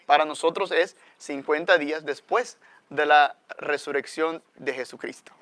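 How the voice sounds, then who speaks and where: A middle-aged man with a Salvadoran accent, speaking as a guest friar. His voice sits a little high and sounds smooth and clear. He explains things earnestly, with frequent fillers, in a dry, close recording. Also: a little slow, fairly bright tone, no echo. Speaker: guest friar, parish priest. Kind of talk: conversational religious talk.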